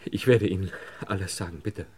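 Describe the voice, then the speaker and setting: An actor playing a desperate, broken man under police questioning in a radio crime play, halting and pleading. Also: low voice, a little mellow, breathy edge. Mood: anxious, confessing. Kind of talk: German radio crime play acting, 1950s to 80s.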